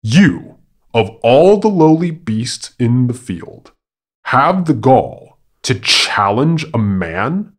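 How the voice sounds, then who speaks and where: Man putting on a loud, pompous, mocking monkey. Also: mid-range voice, very animated, slow, voice pushed up. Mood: scornful, mocking. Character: arrogant, taunting male monkey posing as a man.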